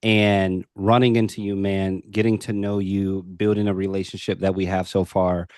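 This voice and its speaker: A male podcast host with a lowish voice opens the conversation with eager, repetitive enthusiasm. He fills his talk with casual asides and repeated words, and the recording is dry and close. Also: little pitch movement, moderate pace, a little mellow, dry recording. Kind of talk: casual interview.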